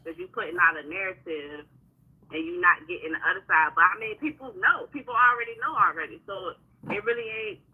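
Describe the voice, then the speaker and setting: A hoarse woman singer talking heatedly in a casual livestream chat, venting in slangy speech. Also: on the low side, brisk, phone-quality sound. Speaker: singer and guest.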